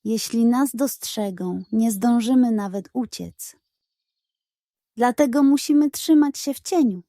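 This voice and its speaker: A woman's voice: on the high side, a little slow, dark tone, no room sound, clean studio sound. A woman voicing a cautious male wolf warrior in a children's story, tense and careful. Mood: hushed caution.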